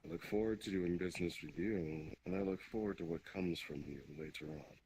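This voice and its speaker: An ancient demon king, acted by a man in an online roleplay, speaks in a deep, low voice. He is formal and deliberate, with calm authority and polite manners. Background noise sits behind the voice. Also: natural voice, poor audio. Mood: courteous, commanding. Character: ancient demon king, courteous, measured, quietly commanding.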